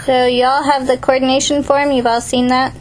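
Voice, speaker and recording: High-voiced woman running a noisy call. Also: little pitch movement, normal speed. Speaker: meeting organizer on a conference call. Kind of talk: casual office chat and call roll. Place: office.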